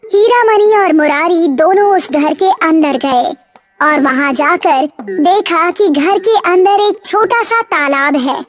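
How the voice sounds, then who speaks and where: A woman's voice: extremely high pitch, takes it slowly. A woman narrating a scripted moral story for children.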